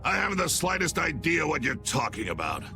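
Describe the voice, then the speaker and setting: A man voicing an insolent, scheming guild boss in a video game, sneering and defiant in a gravelly voice. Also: fairly high pitch, wildly swooping pitch, a little fast, over music, exaggerated voice. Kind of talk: dramatic video game voice acting.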